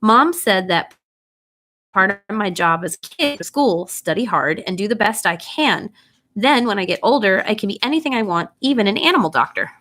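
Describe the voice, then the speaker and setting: A woman reading a children's bedtime story aloud in an expressive, animated way, putting questions to young listeners. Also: mid-range voice, moderate pace.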